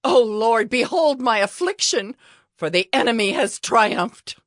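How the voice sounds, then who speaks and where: A woman's voice: a little high, animated, unhurried, fairly bright tone, dry recording. A woman playing a ravaged city personified as a grieving, humbled woman, in an anguished, pleading lament for a dramatized scripture reading. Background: music behind the voice.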